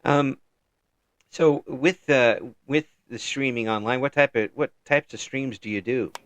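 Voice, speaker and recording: A man's voice: medium pitch, unhurried, a bit bright, no room sound. An older man from Illinois hosting an interview in a friendly, folksy way, chuckling between remarks. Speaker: interview host. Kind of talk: casual interview chat.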